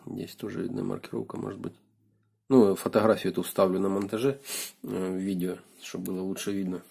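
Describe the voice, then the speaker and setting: Hoarse, low, gravelly-voiced man. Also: little pitch movement, warm tone. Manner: unhurried, thinking aloud with fillers. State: hoarse from a cold. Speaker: video blogger. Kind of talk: casual hands-on gadget teardown. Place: indoors at a wooden desk.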